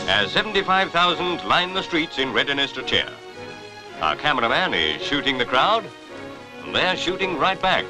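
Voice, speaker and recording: A man's voice: fairly high pitch, rough recording. A man with a Received Pronunciation accent reading scripted 1950s newsreel commentary in a jaunty, formal, clipped manner. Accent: British Received Pronunciation. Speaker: newsreel narrator. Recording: vintage newsreel soundtrack with music behind.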